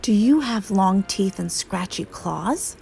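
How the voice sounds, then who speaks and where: A woman's voice: mid-range voice, expressive, takes it slowly, vocal fry, darkish tone, faint music behind. A woman voicing a young boy in a children's storybook, anxious but blunt, picky and politely insistent. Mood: skeptical.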